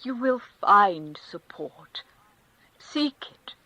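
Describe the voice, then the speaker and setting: A woman's voice: medium pitch, very animated, extremely slow, pushed and bright, no room sound, exaggerated voice, low-quality recording. A woman reading a devout countess who consoles in a fervent, preaching manner. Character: devout rapturous aristocratic countess. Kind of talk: audiobook character reading.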